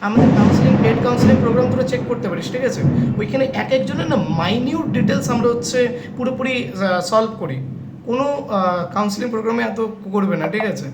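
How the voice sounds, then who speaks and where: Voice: mid-range voice, quick pace. A young adult host with a Bengali accent, talking casually and directly to students in an insistent way, often checking that they follow. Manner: insistent, repeatedly checking with "okay?". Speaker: YouTube host giving exam counselling updates. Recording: echoey room with clear background noise.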